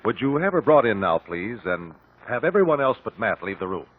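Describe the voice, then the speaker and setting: Male actor as calm, precise investigator, 1950s radio drama, animated pitch, deliberate questioning, thin lo-fi broadcast. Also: very noisy, natural voice. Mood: probing, polite. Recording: muffled lo-fi 1950 radio broadcast.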